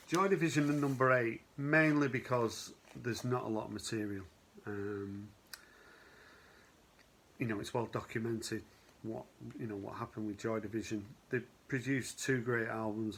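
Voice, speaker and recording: A man's voice: fairly low pitch, steady tone, measured pace, a bit bright, slight background noise. A middle-aged man from Manchester with a mild Manchester accent, chatting casually about his record collection as he counts down a list. He rambles and fills his talk with lots of "um"s and "you know"s. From Manchester, England. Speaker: vinyl record channel host. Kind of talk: casual chat presenting a countdown.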